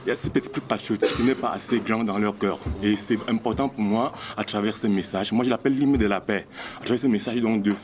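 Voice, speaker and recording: A man's voice: fairly low pitch, quick pace, background noise. A man, a singer from Cameroon, answering interview questions earnestly with many hesitations.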